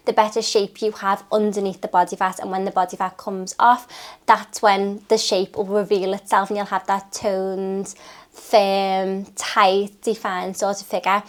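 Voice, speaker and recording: Chatty British woman with a sniffly cold. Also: medium pitch. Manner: chatty, rambling, listing things off. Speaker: nutritionist and personal trainer. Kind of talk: unscripted chat to camera. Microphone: talking to camera.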